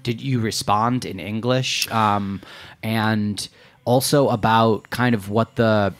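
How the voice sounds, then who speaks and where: Low-voiced man asking rambling questions. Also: fairly even intonation, slow pace. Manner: curious, rambling questions with restarts. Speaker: podcast host. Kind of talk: interview questions.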